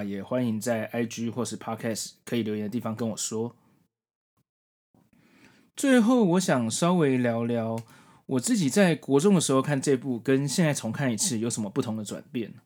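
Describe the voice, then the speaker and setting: Taiwanese guy in his 40s doing a solo podcast review, really animated up and down intonation. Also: medium pitch. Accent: Taiwanese Mandarin. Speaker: podcast host. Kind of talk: solo manga review, conversational narration.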